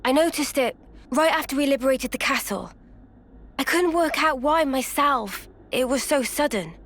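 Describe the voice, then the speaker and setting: A woman's voice: sits high, normal speed, fairly bright tone. An actress playing an earnest, thoughtful young female warrior in a video game, sincere and a little hesitant. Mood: hesitant, apologetic.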